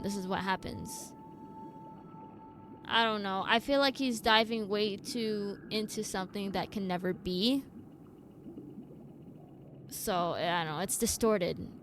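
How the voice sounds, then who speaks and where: A woman's voice: mid-range voice, unhurried, long pauses, fairly bright tone, soft background music. A woman giving casual, chatty live commentary as she streams a game and talks to her viewers. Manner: chatty, reacts to game and chat.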